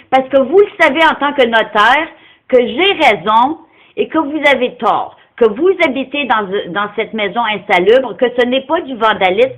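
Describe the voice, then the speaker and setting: A non-francophone woman speaking Quebec French, arguing insistently and confrontationally on a phone call, often cutting in. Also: sits high. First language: not French, non-francophone. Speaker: caller confronting someone over animal welfare. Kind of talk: argumentative phone conversation.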